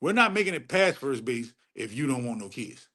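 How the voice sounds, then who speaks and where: Casting: middle-aged man, mid pitch, preachy streamer, crude and emphatic, repeats himself. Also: sing-song, a little fast, pushed and bright. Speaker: livestream host answering chat. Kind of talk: casual unfiltered livestream rant.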